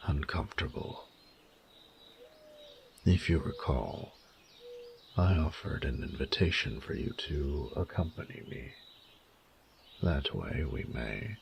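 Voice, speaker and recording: Rumbling, gravelly, flirty dragon. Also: male voice, slight background noise, heavily acted. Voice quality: very deep, gravelly, breathy murmurs. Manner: formal, unhurried, teasing, intimate phrasing. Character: huge proud male dragon, flirty, doting and courtly. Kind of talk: romantic audio roleplay addressed to the listener.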